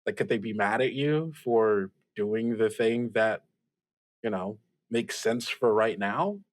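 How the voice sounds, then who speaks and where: A man's voice: lowish voice, slow pace, no echo. A man playing an awkward, sympathetic student radio host who stammers tentative reassurance in an improvised drama.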